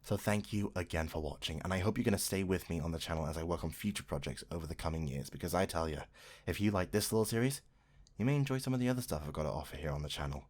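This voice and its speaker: A man's voice: low voice, quick pace, darkish tone. A man who makes roleplay audio, casually addressing his viewers and thanking them in an outro. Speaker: channel creator.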